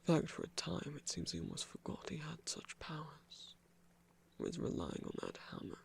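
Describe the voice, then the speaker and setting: A man voicing a gentle trickster god in an ASMR roleplay, whispering slowly and soothingly in a breathy, creaky voice with refined, hesitant phrasing. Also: fairly high pitch, wildly swooping pitch, full character voice. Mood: gentle reminiscing.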